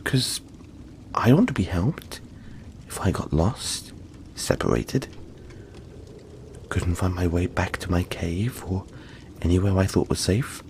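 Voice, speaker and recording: A man voicing a gentle, friendly young yeti in an intimate audio roleplay, speaking softly and reassuringly, with halting, breathy phrasing. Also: sits low, animated, a little hiss or hum, heavily acted. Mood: friendly, earnest. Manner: soft-spoken, reassuring, halting, often breathy near-whisper.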